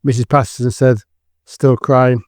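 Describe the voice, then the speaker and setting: A man's voice: mid-range voice, little pitch movement, a little slow, a little mellow, dry recording, clean studio sound. A man giving scripted dramatic narration for an audio drama.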